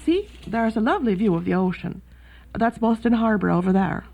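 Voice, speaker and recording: A woman's voice: medium pitch, expressive, a little fast, vocal fry, a little mellow, slight background noise. An actress playing a kindly, chatty, fussing boarding house landlady in a period radio drama. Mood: welcoming. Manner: fussing, hospitable, gossipy. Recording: thin phone-like band, faint music behind.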